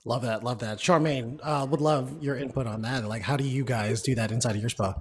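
A man's voice: mid-range voice, fairly even intonation, brisk, a little hiss or hum. A man hosting a podcast, affirming his guests and asking them questions in an upbeat way. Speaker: podcast host.

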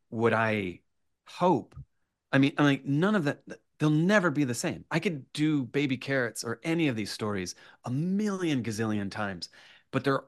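Deep-voiced man, emphatic and repetitive. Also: no echo, studio quality. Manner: repeats words, builds points in short emphatic lists. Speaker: guest, writer and performer. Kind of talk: animated interview conversation.

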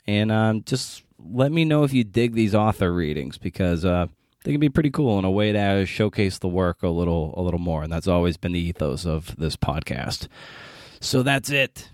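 A man's voice: deep voice, lively intonation, normal speed, darkish tone, no room sound, studio quality. A man hosting a podcast, giving an informal introduction and speaking directly to listeners. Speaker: podcast host.

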